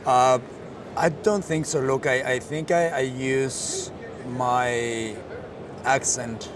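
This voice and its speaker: A man's voice: mid-range voice, fairly even intonation, slow pace. Man met Colombiaans accent, veel geaarzel. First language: Spanish. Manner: hesitant, many fillers and restarts. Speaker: interview guest, marketing director. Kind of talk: casual interview. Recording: street noise behind.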